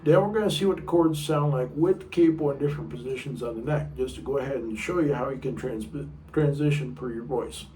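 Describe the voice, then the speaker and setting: A middle-aged man with a low baritone voice teaching a casual guitar lesson, chatty and rambling, sometimes singing short notes. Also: a little fast, hardly any pauses, mellow. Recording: room mic a bit far off, guitar playing.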